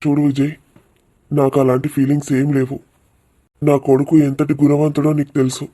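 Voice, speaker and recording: A man's voice: fairly high pitch, completely flat delivery, moderate pace, mellow, natural voice. A man voicing a genial, firm middle-aged father in a cartoon village drama. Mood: earnest, reassuring.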